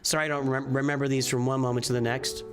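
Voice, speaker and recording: A man's voice: medium pitch, little pitch movement, fast talker, over music. A man hosting a casual live stream, reviewing game rosters in a rambling, offhand way, often correcting himself mid-sentence.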